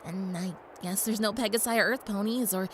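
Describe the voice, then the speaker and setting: A woman's voice: medium pitch, a little fast, a little hiss or hum, natural voice. A woman playing a young female unicorn pony in an audio drama, curious, polite and careful, speaking in a musing, tentative way. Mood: amazed, musing.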